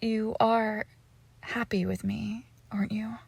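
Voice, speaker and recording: A woman playing a lovesick, possessive and lonely witch in an intimate ASMR roleplay, speaking softly and breathily and often slipping into tender whispers. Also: fairly high pitch, little pitch movement, slow, gravelly, husky, dry recording, exaggerated voice. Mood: possessive, coy.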